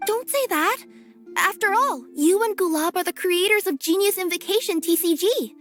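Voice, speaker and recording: A woman's voice: extremely high pitch, normal speed, fairly bright tone, soft background music. A woman voicing a polite young female courier in a game cutscene, businesslike and reassuring.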